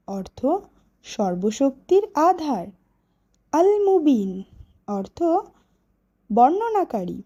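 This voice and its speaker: A woman with a high, mellow voice gives a children's lesson. She recites extremely slowly and deliberately, phrase by phrase, with a strongly rising and falling melody. Her delivery is instructional. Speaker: teacher. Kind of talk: children's educational lesson, reciting names with meanings.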